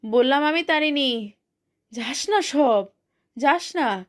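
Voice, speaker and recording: Gossipy old headman, slow and rambling, put on by a woman reader. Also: high-pitched, animated. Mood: complaining. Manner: rambling, halting speech.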